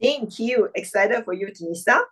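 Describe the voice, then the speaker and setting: A woman's voice: medium pitch, animated, normal speed, fairly bright tone. A woman hosting an online club meeting over a video call, introducing guests in an upbeat, exclamatory way.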